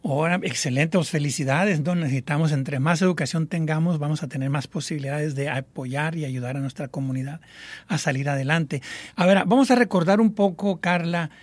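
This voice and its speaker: Higher-voiced Mexican man hosting radio. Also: a little fast, dry recording. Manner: warm rambling with many fillers. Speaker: radio host. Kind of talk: casual radio interview.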